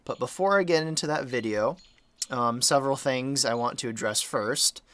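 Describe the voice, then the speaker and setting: Young man, mild sore throat, dry close sound, bright crisp tone, easygoing rambling chat. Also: medium pitch, normal speed, dry recording. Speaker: game modder and channel host. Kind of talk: casual development update talk.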